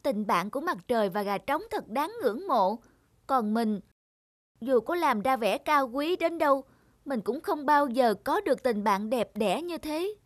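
A woman voicing an ashamed, timid, regretful moon in an animated fairy-tale monologue. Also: sits high, unhurried, no room sound, studio quality. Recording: loud music behind the voice.

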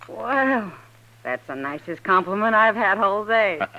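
A woman's voice: medium pitch, expressive, a little slow, bright, forceful tone. A wry american frontier lady, teasing and sure of herself, dull old radio sound. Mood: playful sarcasm. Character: wry, teasing, self-assured Old West woman. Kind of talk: 1950s radio western acting. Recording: dull, lo-fi 1950s radio recording.

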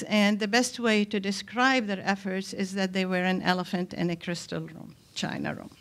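An older woman from Lebanon speaks as a panelist at a podium microphone, lecturing in a deliberate, emphatic way with pauses mid-thought. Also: medium pitch, a bit bright. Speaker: panelist, scholar and activist. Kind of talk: public lecture at a symposium. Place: indoor hall, speaking from a podium. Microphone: microphone on a stand.

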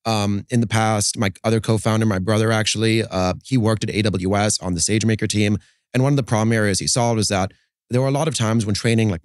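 A man's voice: on the low side, no room sound, studio quality. A man in his thirties, a startup co-founder, explaining his business fluently in an interview, often adding "right?", his voice slightly worn from a busy conference. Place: conference podcast studio set, indoors. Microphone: studio mic on boom arm, wearing headphones.

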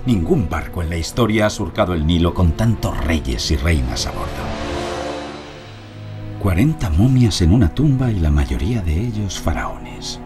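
A solemn awed archaeologist in an audio drama, low voice, music under him. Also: male voice, very animated, moderate pace. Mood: awed, reflective. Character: German archaeologist, earnest, awed, recalling a great discovery. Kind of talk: audio drama acting with reflective narration.

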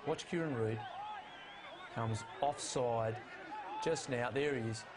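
A man's voice: slow, music in the background, a crowd in the background. Emphatic man breaking down match footage. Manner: emphatic, rises in pitch to stress key calls. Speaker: rugby analyst. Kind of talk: studio match analysis.

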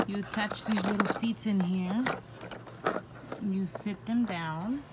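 A young mother talks slowly and easily through a toy unboxing, naming each little piece as she goes. Her voice sits at an ordinary middle pitch. It is a noisy phone recording made in a bedroom. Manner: chatty, narrates each toy piece, plays along with her daughter. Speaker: toy review vlogger, mother. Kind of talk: casual toy unboxing chat. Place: bedroom at home.

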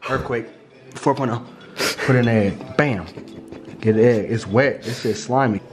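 A man's voice: medium pitch, moderate pace, darkish tone. Hyped young man joking while cooking. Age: young adult. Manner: hyped, joking, talks to viewers with sound effects. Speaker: cooking partner. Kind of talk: playful cooking vlog chat. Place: home kitchen, indoors. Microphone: camera mic across the kitchen. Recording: echoey kitchen with background noise.

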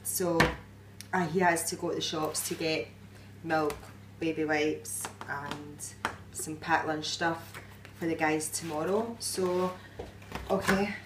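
A woman's voice: deep voice, steady tone, takes it slowly, heavy background noise. Chatty woman on a phone vlog. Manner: chatty and rambling, many fillers. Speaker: vlogger. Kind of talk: casual family holiday vlog. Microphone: phone held at arm's length.

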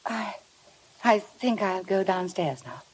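An actress playing a timid, eager young bride in a 1950s radio drama, speaking earnestly and politely. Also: female voice, mid-range voice, animated, slow, slightly rough, heavily acted. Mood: nervous, polite.

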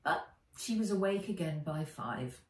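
A middle-aged woman, an author reading aloud from a children's storybook in an expressive narrating style. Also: sits low, a little slow. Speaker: author reading own book. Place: living room or sunroom, indoors. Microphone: camera or phone a few feet away. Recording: roomy, distant home recording.

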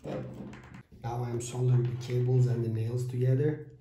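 A man in his thirties hosting a step-by-step electronics build tutorial, with halting phrasing and fillers, filmed at a table in a small room. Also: medium pitch, level tone, dark tone. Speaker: DIY electronics channel host. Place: small room with whiteboard, indoors. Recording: echoey room.

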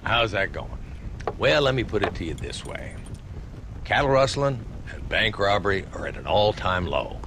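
An actor playing a tough, weary old frontier marshal in a Western video game, gruff and dryly sarcastic with an American Western accent. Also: male voice, fairly low pitch, very animated, normal speed, background noise. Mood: dry, sardonic. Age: older. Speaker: town marshal.

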